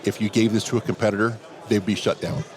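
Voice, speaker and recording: Man, big low voice, relaxed anecdotes, conference hallway. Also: fast talker, a crowd in the background. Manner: relaxed anecdotal telling, quoting others in dialogue. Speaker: interview guest, security professional. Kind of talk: casual storytelling in a conference hallway chat. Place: outdoors at a conference venue.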